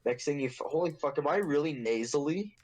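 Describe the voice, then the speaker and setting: A man's voice: a little high, animated, brisk, a little mellow. A young man hosting a casual podcast, telling a story in a rambling, profane, joking way. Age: young adult. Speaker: podcast host.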